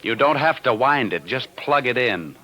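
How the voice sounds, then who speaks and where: An actor playing a wisecracking, cool-headed private detective in a 1950s radio drama, brash and commanding. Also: male voice, mid-range voice, expressive, moderate pace, sharp tone, poor audio. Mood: urgent, reassuring. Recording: old 1950s radio broadcast recording.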